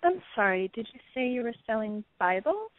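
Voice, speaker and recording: A woman's voice: fairly high pitch, big pitch swings, normal speed. A woman playing a reserved, wary, polite housewife in an audio drama, on a narrow line with some noise. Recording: narrow, noisy, dull line with clicks.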